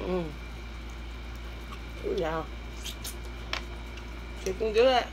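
Hoarse Southern woman, 30s, home eating-show host, chatty and warm with viewers, faint background noise. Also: mid-range voice, very slow. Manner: chatty, addresses viewers as y'all, hums while eating. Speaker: mukbang host. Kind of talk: casual eating-show chat. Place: small room at home, indoors.

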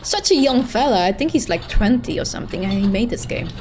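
A woman's voice: a little high, fast. A young Dutch woman co-hosting a VR stream, chatting casually, reading chat aloud and teasing her partner. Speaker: streamer and co-host. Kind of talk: casual live-stream commentary. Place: indoor room at a desk. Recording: steady background noise.

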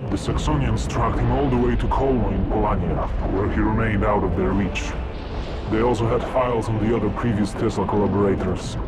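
An actor playing a brooding, driven war veteran in a video game, grave and determined. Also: male voice, on the low side, lightly acted, rough recording. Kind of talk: video game cutscene acting.

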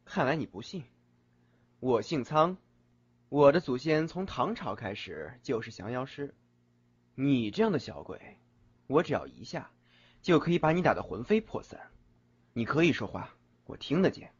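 A man's voice: medium pitch, sing-song, moderate pace, long pauses, husky. A man playing a curt, cool young male student who sees ghosts, in an audio drama. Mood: cold, stern. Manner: curt, cool, threatening when annoyed. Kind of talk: Chinese audio drama acting. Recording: dull lo-fi audio, background music.

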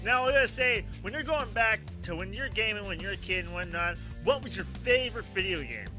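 A man's voice: high-pitched, expressive, brisk, music in the background. A man hosting a casual gaming interview, rambling, repeating phrases and given to exclaiming. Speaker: podcast talk show host. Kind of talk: casual excitable interview chat.

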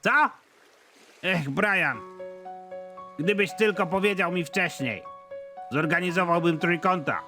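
A sardonic cartoon sidekick, voiced by a man speaks in a high, dry voice and pokes fun at his partner. Soft music sits underneath. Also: lively intonation, slow, long pauses. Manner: dry, mocking. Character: young male kidnapper, sidekick, sardonic.

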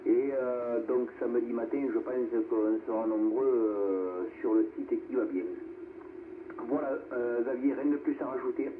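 A man's voice: mid-range voice, unhurried. A man speaking casually as an amateur radio operator, heard over the air. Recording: noisy narrow-band radio reception.

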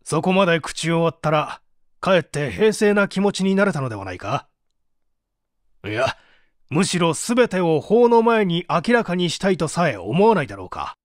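An actor playing an authoritative, probing prosecutor who questions formally in a dramatic audio mystery. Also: male voice, on the high side, very animated, normal speed, dry recording, studio quality. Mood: probing, firm. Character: male prosecutor, probing, authoritative, composed interrogator. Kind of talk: dramatic audio reading of an interrogation.

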